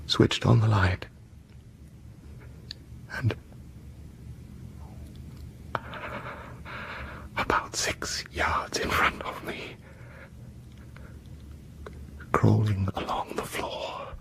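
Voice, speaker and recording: A man's voice: low voice, fairly even intonation, unhurried, creaky, fairly bright tone, in a whisper, exaggerated voice. An actor playing a haunted, obsessive British man in a radio horror drama, stammering and prone to hysterical urgency. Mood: mounting dread. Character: haunted, obsessive man gripped by mounting dread. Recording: noisy old radio drama broadcast.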